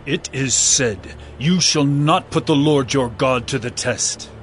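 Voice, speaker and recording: A man playing a solemn, authoritative preacher with measured, earnest, declamatory speech in a dramatized scripture reading. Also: mid-range voice, soft background music, natural voice. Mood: firm.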